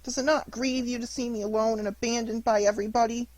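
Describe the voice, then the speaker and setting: A woman voicing an anguished wooden boy puppet, high and wailing as he pleads. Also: fairly even intonation, fast, a little mellow, no room sound, lightly acted, poor audio. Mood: grieving, pleading. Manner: high wailing sobbing delivery.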